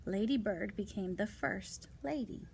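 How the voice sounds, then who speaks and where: A woman's voice: mid-range voice, unhurried, gravelly, darkish tone, a little hiss or hum. A woman reading non-fiction picture books aloud to young children, adding casual asides, simple explanations and questions to the listeners.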